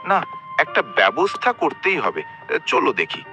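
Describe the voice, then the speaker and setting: A man's voice: fairly high pitch, wildly swooping pitch, unhurried, highs cut off. A man voicing cartoon figures and narrating in an exaggerated, theatrical way. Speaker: narrator and voice actor. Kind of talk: cartoon voice acting and storytelling. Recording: voice-over with music.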